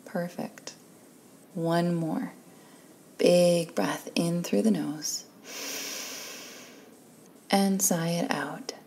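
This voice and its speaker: A woman leading a guided meditation in a soft, breathy, calm and soothing voice, leaving long pauses between phrases. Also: on the low side, a little hiss or hum, low-quality recording. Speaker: guided relaxation instructor.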